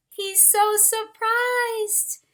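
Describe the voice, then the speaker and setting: Female storytime reader for young kids: very slow, bright delivery, expressive narration, wondering asides to listeners. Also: very high-pitched. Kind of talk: read-aloud picture book narration with asides to children.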